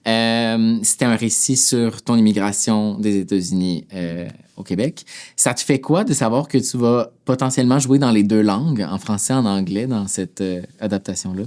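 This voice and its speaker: Quebecois host guy, lowish voice, lively, lots of quick "ouais". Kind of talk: interview.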